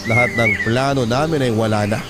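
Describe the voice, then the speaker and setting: Guy narrating a sad letter story, solemn n dramatic, mid voice, music loud behind him. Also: mid-range voice, brisk. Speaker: storyteller host reading a listener's letter.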